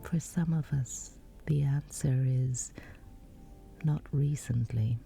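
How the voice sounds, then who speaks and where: A woman guiding a sleep meditation in a breathy, whispery voice, soothing and measured with long pauses. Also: extremely low pitch, warm tone, soft background music. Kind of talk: guided sleep meditation, scripted.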